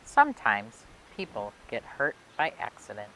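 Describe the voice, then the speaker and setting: A woman reading a children's picture book aloud for a library storytime, expressively and phrase by phrase with emphatic pauses. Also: extremely low pitch, huge pitch swings, a bit bright, rough recording. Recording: clear background noise and hiss.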